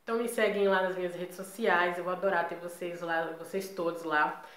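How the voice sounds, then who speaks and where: A Brazilian woman with a Brazilian Portuguese accent talks to her viewers in a casual personal vlog. Her voice sits on the lower side, and her delivery is chatty and emphatic, full of tag questions. The recording has a roomy echo. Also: steady tone, brisk, a bit bright. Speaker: vlogger.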